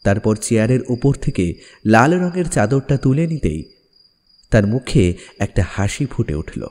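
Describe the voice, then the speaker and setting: Mellow male suspense narrator in deep reverb. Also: sing-song, normal speed. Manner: dramatic, suspenseful. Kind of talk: scripted suspense story narration. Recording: long reverb over background music. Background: music.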